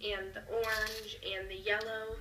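A woman's voice: mid-range voice, flat delivery, measured pace, far from the mic, noisy background. A woman with a slight cold hosting a craft tutorial, giving friendly step-by-step instructions with fillers, heard replayed through a tablet speaker. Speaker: craft channel host. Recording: tablet speaker replayed with room echo and music.

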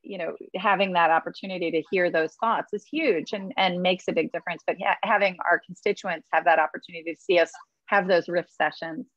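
A woman's voice: on the low side, fairly even intonation, a little fast, fairly bright tone, muffled recording. A woman, a state representative, talking candidly in a virtual town hall, with lots of fillers. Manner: chatty, many fillers, candid. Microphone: video call.

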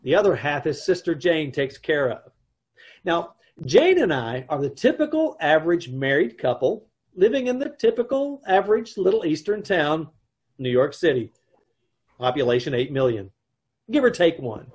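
A man's voice: on the high side, big pitch swings, normal speed, breathy edge. A middle-aged man playing a wry, exasperated husband who wisecracks about his troubles in an old-time radio comedy. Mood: wry, narrating. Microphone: video call.

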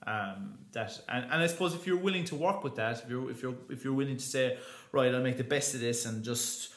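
A man's voice: mid-range voice, fairly quick, fairly bright tone. Chatty man in a roomy echo. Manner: chatty, many restarts and fillers. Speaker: guest, opera singer. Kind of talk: casual interview talk.

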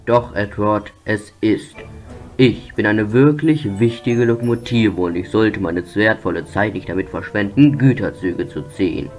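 A self-important cartoon steam locomotive, voiced by a man, complains in a low, pompous voice. The delivery is slow and grumbly, with music behind it. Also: lightly acted. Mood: indignant. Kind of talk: cartoon fan dub acting.

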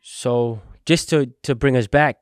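A man's voice: mid-range voice, measured pace, no echo, studio quality. A man in his twenties, a guest from New Jersey, steadily telling his business story in an interview, recorded on a podcast mic in a warehouse set. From New Jersey, United States. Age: 20s. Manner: earnest, steady storytelling with fillers. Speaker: guest, logistics entrepreneur. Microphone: boom-mounted podcast mic.

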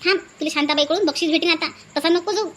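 A woman voicing a warm-hearted older cartoon village auntie, gushing and insistent, repeating herself in rustic Vidarbha Marathi. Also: extremely high pitch, racing. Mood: admiring. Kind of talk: comedy cartoon dialogue.

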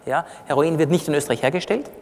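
A man's voice: fairly high pitch, quick pace, creaky, a little mellow. A middle-aged man with an Austrian accent gives an explanatory, conversational lecture, teaching plainly and checking in with short tag questions. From Austria, born in Iran. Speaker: physician giving a lecture. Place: on stage in a large congress hall. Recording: reverberant large hall.

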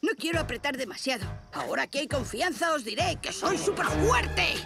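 A woman plays a big cartoon boy who fancies himself a superhero, boastful and quick to flare up. The voice is very high with a gravelly creak, in Castilian Spanish. He talks with bombastic showmanship over loud music. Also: animated. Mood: apologetic. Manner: bombastic, showy, exclamatory. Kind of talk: animated cartoon dub.

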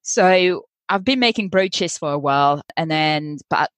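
A woman's voice: deep voice, animated, unhurried, dry recording. French-accented woman, dry close recording. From France. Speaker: guest, textile artist and tutor. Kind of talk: casual interview talk.

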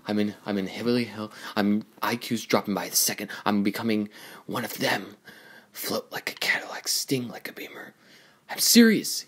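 A man voicing a cocky, excitable young talking race car in an amateur cartoon audition, with theatrical, emphatic delivery. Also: lowish voice, slightly rough, full character voice. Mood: hushed, focused, then earnest, admiring.

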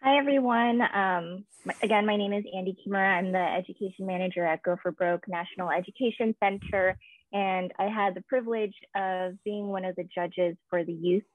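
A woman's voice: mid-range voice, steady tone, brisk, no room sound. A woman in her thirties giving a prepared introduction as a judge on a video call. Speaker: contest judge, education manager. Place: indoor room at home. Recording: thin phone-like video-call audio.

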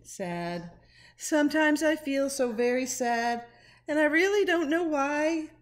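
A middle-aged woman, a teacher, doing an expressive, interactive picture-book read-aloud with chatty asides to the children. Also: high-pitched, takes it slowly. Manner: expressive, dramatic when reading, conversational asides to the children. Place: small living room at home, indoors. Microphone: webcam or laptop at arm's length.

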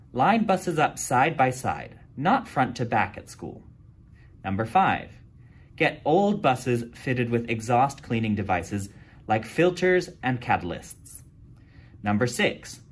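A man reading a children's picture book aloud indoors, with lively storytelling. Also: mid-range voice, husky. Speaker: county environmental staff reading to kids. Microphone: camera filming book over shoulder.

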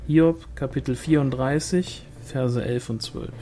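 A man's voice: medium pitch, fairly even intonation, unhurried. A man reading literary narration from a novel as an audiobook. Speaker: audiobook reader. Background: music.

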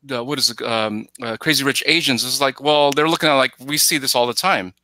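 A middle-aged man from the United States chatting casually, halting and repeating himself as he tells his story. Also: medium pitch. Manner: halting, repetitive, animated storytelling. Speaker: podcast guest and co-commentator. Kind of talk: casual chat. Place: plain room at home, video call.

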